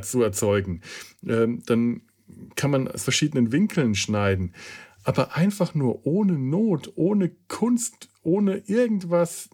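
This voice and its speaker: A German man hosting a podcast, giving a casual spoken review with many halting restarts and fillers. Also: fairly high pitch, mellow. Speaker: podcast host.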